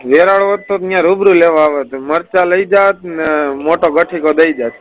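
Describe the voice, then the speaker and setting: A man's voice: on the high side, normal speed. A young adult man, talking casually and crudely as a caller, swearing often, on a recorded phone call.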